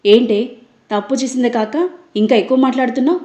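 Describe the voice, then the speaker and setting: A woman's voice: fairly high pitch, steady tone, fast talker, warm tone, reverberant, dull audio, close to an everyday voice. A woman voicing a harsh, accusing middle-aged mother-in-law who hurls insults. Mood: scornful, furious.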